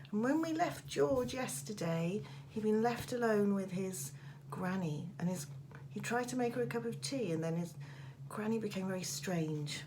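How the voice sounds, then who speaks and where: A woman's voice: mid-range voice, slight background noise. A woman teacher reading a children's storybook aloud with dramatic, emphatic expression. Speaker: primary school teacher.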